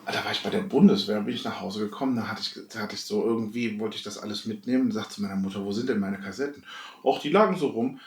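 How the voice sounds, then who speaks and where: A middle-aged man chatting casually as a podcast co-host, telling things in a halting way with repeated words. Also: on the low side, distant mic. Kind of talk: casual nostalgic chat. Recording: roomy echo, off the mic.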